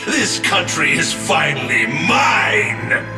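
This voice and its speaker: An actor playing a pompous, gloating villainous lord in a theatrical video game style. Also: male voice, on the high side, sing-song, slow pace, reverberant, over music. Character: gloating villainous lord, servant of the underworld queen. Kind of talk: dramatic video game voice acting.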